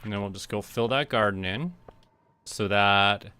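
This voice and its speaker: A man from Canada doing casual gameplay commentary, thinking aloud to himself in short, unhurried remarks. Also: fairly low pitch, bright, forceful tone, slight background noise. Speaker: livestreamer playing a farming game. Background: game music.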